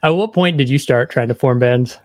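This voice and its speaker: A man's voice: medium pitch, fairly quick, darkish tone, no room sound, clean studio sound. A man hosting a podcast, asking questions in an easygoing way and affirming often. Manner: easygoing, affirming with frequent "yeah"s. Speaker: podcast host. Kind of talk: casual interview chat.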